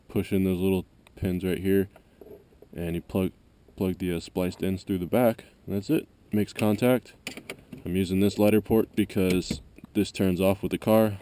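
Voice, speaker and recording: A man's voice: deep voice, warm tone. A man giving a casual, unscripted hands-on how-to demonstration in a plain-spoken, explanatory way, recorded on an action camera. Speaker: amateur installer giving a car tutorial. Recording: noisy action camera, vehicle ambience.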